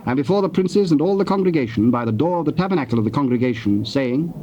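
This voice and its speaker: A man narrating scripture from a script in a measured, formal storytelling manner. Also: mid-range voice, animated, mellow. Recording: dull old tape, faint noise.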